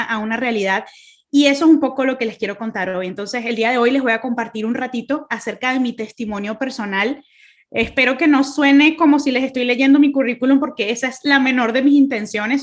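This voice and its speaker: A woman with a Caracas Venezuelan accent speaks over a video call, her voice sitting a little high. She talks earnestly, pausing often with fillers and starting her sentences again. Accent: Venezuelan Spanish, Caracas. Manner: earnest, many fillers and restarts. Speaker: guest speaker. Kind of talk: online faith talk.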